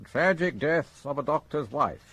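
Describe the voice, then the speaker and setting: An actor playing a terse, authoritative British chief inspector in a radio crime drama, probing with clipped questions. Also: male voice, fairly high pitch, expressive, a little slow, a bit bright, slightly rough, full character voice. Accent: British English.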